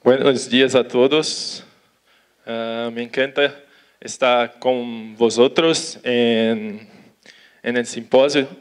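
A young man from Brazil with a Portuguese accent, giving a conference talk in halting Spanish on an auditorium stage, talking slowly with restarts. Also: medium pitch, steady tone, clear, resonant tone. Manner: slow, careful, with restarts and Portuguese words. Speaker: conference speaker. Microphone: handheld mic.

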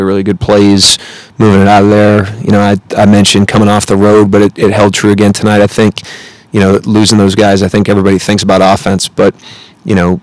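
Man, 30s, head coach, press conference, low flat voice, dry close mic, measured with many fillers. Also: no room sound, poor audio. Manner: measured, analytical, frequent "you know" and "um". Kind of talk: post-game press conference answers. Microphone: table mic close in front.

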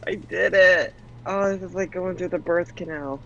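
A man's voice: sits high, fairly even intonation, moderate pace, gravelly, highs cut off. A man talking casually while playing a video game with a group. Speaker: gamer in a group let's play.

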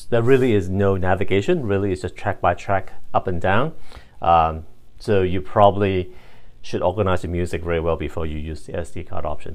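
Low-voiced man demonstrating a product. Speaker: product reviewer. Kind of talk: casual hands-on demonstration, unscripted.

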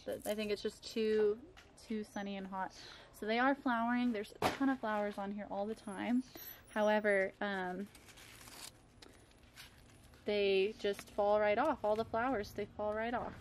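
A woman's voice: mid-range voice, steady tone, measured pace. A woman in her thirties giving a casual, chatty garden tour, talking affectionately as she goes. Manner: chatty, affectionate talk about plants. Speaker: home gardening vlogger. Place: outdoors in a home backyard garden. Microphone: handheld camera. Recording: clear background noise outdoors.

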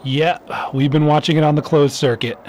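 A man's voice: on the high side, fairly even intonation, normal speed, darkish tone, slight background noise, lightly acted. A man playing an unfussed security dispatcher in an audio drama, talking casually. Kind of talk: naturalistic audio drama radio chatter.

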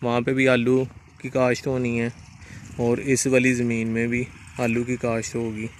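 A man's voice: medium pitch, completely flat delivery, unhurried, slight background noise. A man with a Pakistani Punjabi accent narrating a casual vlog outdoors on a farm field and chatting with a farmer. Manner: chatty, friendly questioning. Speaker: vlogger.

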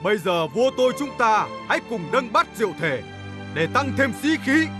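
A man playing a resolute middle-aged emperor in an animated historical drama, with stately, declamatory delivery. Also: extremely high pitch, brisk, loud music behind the voice. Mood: rousing, proclaiming. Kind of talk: animated historical drama acting, formal oratory.

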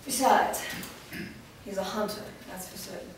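A woman's voice: medium pitch, normal speed, natural voice. A woman playing a proud, menacing master vampire in a staged play reading, defiant and dismissive. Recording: echoey room, far mic, faint noise.

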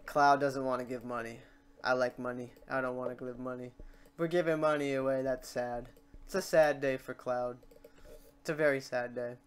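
A tutorial host chatting informally and thinking aloud in a meandering way while working through software steps. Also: medium pitch, flat delivery, normal speed. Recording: noisy room, keyboard and mouse clicks. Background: typing and mouse clicking.

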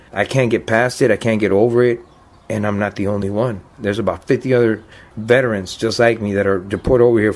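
A man in his fifties with a somewhat low voice gives a documentary interview. He talks in a plain, earnest way and lets real emotion show without dressing it up. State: choked up. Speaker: deported veteran telling his story.

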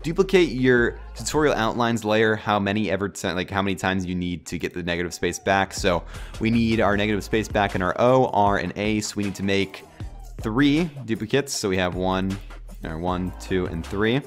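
A low-pitched man narrates a screen tutorial while sick, his voice nasal and cracking from a sore throat. He rambles in a relaxed, filler-heavy way, with faint music under him. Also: normal speed. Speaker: tutorial host. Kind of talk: casual screen-recorded software tutorial.

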